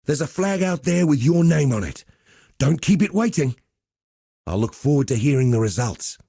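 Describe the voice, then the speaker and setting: A roguish gladiator master from a video game, easygoing and cocky. His mellow voice swings freely in pitch as he teases and goads with wry swagger. The recording is close and dry. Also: sing-song, normal speed, vocal fry, husky, no echo, full character voice. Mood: rousing, wry. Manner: wry, teasing, conversational swagger. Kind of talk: video game character dialogue.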